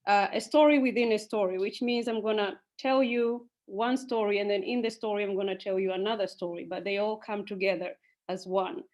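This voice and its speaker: A young woman from Tanzania speaking to an audience and then telling a folk story, unhurried, with many ums and uhs. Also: mid-range voice, fairly even intonation, a little mellow. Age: young adult. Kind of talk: storytelling talk.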